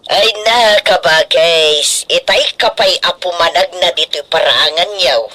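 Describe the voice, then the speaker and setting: Soothing, bright-voiced Filipino auntie. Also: female voice, lowish voice, unhurried. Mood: reassuring. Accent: Ilocano Filipino. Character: kindly, soothing middle-aged auntie, family confidante. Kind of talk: radio drama acting.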